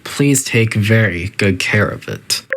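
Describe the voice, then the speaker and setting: A man's voice: fairly low pitch, slow, fairly bright tone. A man voicing a coolly polite, faintly menacing facility AI that gives formal, detached test-chamber announcements in a video game. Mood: clinical, detached.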